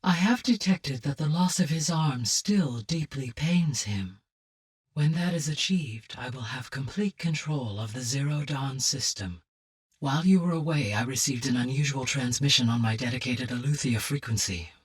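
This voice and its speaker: A woman playing a calm, caring, erudite artificial intelligence in a video game, speaking formally and precisely in a low, husky voice. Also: distant mic, full character voice. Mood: calm, informative. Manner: formal, measured, precise diction. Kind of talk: scripted video game dialogue.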